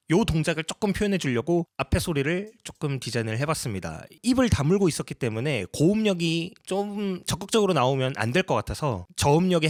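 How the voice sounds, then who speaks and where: A man's voice: on the high side, no echo, studio quality. A man narrating a casual tutorial as a sound designer, explaining each step politely.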